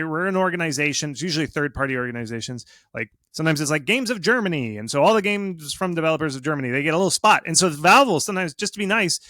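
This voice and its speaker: A man's voice: a little high, dry recording, clean studio sound. A man giving casual, conversational expert advice in an emphatic, informal way, often acting out imagined reactions. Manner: animated, acts out imagined reactions and quotes. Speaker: guest expert on game marketing.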